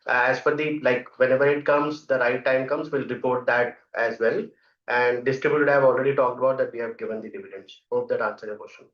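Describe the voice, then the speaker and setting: A man's voice: mid-range voice, steady tone, fairly quick. A man speaking as a finance executive, explaining company policy in an unscripted answer on an earnings call. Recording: echoey, muffled conference call audio.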